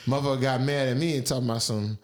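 A man's voice: medium pitch, fairly even intonation, rapid-fire. A man co-hosting a podcast, trading joking, teasing, profane banter in casual chat. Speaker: podcast co-host.

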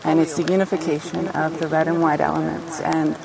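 A woman giving an informal spiritual talk in an earnest, reflective manner, with halting phrasing. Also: deep voice, flat delivery, creaky, crowd noise, rough recording. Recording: outdoor field recording with music.

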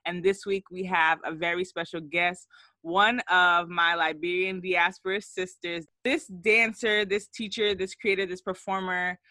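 Bright-voiced woman podcast host. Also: lowish voice, level tone, measured pace, no room sound. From United States. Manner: upbeat, effusive introductions. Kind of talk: warm podcast interview.

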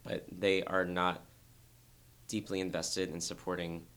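A young man, a dancer, talking earnestly and thoughtfully in an interview, with a very low voice and frequent restarts. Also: level tone, measured pace, a bit bright. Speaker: dancer guest. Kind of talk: reflective interview talk.

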